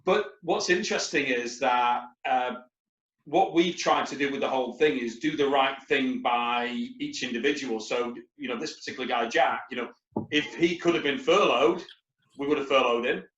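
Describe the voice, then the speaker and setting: A man's voice: mid-range voice, moderate pace, a bit bright, reverberant, lo-fi sound. A man, a recruitment company leader, talking on a webinar panel in a rambling, anecdotal way with lots of fillers. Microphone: video call.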